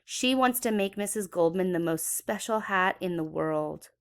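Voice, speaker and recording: A woman's voice: lowish voice, normal speed. A woman reading a children's story aloud expressively, with dramatic emphasis for young listeners. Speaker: children's book read-aloud educator.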